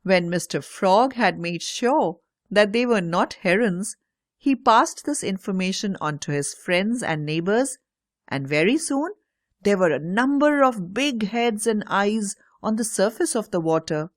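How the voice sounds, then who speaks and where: A woman narrating a scripted children's story in a measured, carefully enunciated read-aloud manner. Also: medium pitch, expressive, dry recording.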